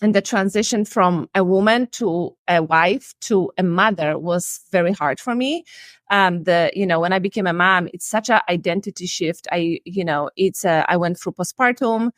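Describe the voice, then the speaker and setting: A woman's voice: lowish voice, normal speed, dry recording, studio quality. A woman in her forties from Poland with a Polish accent, earnestly telling her own story as a life and relationship coach on a video call. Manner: earnest, reflective, slightly non-native phrasing. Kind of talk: candid personal storytelling. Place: home room, indoors.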